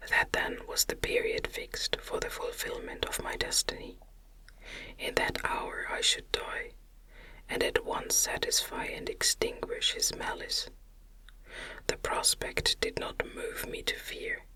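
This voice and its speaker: A narrator reading a classic story in a soft, breathy, soothing ASMR whisper with measured, deliberate phrasing. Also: rough recording. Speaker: audiobook reader.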